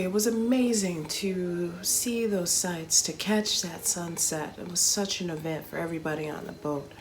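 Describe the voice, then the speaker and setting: A woman's voice: lowish voice, slight background noise. Chatty woman telling a travel story. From Chicago, United States. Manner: chatty, animated storytelling with playful asides. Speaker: comedian and travel vlogger.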